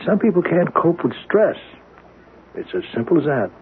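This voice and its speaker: Skeptical doctor on tinny old radio. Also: male voice, a little high, lively intonation. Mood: skeptical, firm. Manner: measured, explanatory, slightly hesitant. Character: skeptical, matter-of-fact male doctor at research facility. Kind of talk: 1970s radio drama acting. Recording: tinny, faintly noisy 1970s radio broadcast.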